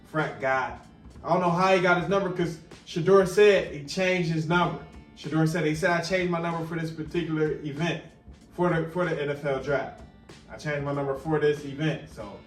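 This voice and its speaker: A man giving casual, slangy sports commentary as a video host, repeating phrases for emphasis, recorded roomy and a little distant. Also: medium pitch. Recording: roomy, distant mic, music behind.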